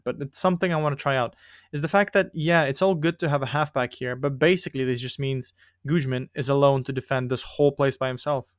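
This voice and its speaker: A man's voice: a little high, dry recording, clean studio sound. A man whose first language is not English, chatting casually and rambling as he comments on a football management game he is playing. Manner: chatty, rambling, breaks off and restarts sentences. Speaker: gaming video creator.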